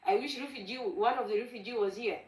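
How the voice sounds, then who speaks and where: Kenyan accent woman arguing hard on a call, keeps saying honestly, kinda high, echoey. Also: fast talker. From Gambela, Ethiopia. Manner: passionate, insistent, repeats honestly. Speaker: panel participant. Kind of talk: heated online debate.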